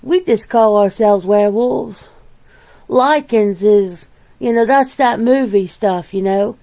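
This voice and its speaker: An easygoing, peace-minded werewolf, played by a woman, answers interview questions in an ASMR roleplay. The voice is mellow and crackles with fry, and the words come out very slowly in folksy, casual phrasing. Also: medium pitch. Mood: casual, candid. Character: laid-back, plain-spoken werewolf being interviewed, peace-minded.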